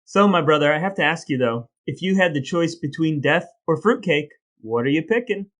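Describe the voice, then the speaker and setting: A man's voice: on the high side, lively intonation, a little fast, fairly bright tone. A man co-hosting a podcast with scripted, playfully mock-solemn delivery and banter. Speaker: podcast co-host. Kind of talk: scripted dramatic reading and bantering podcast talk.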